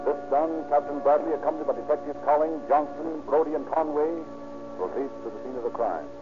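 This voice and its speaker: A man's voice: fairly high pitch, quick pace. A man narrating a scripted 1930s radio crime drama in a formal, clipped manner. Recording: muffled old radio recording. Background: music.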